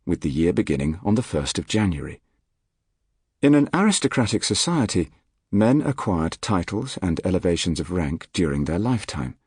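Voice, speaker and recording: A man reading a nonfiction audiobook in a measured, articulate, formal manner. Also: low voice, a little mellow, husky, dry recording, studio quality. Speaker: audiobook narrator. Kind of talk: scripted nonfiction audiobook narration. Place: dry recording studio.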